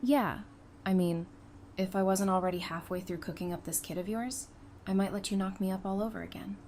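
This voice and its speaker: A woman's voice: mid-range voice, fairly even intonation, brisk, a little mellow, a little hiss or hum, close to an everyday voice. A woman playing a level-headed, supportive, teasing wife and mother in an audio drama, speaking frankly and conversationally.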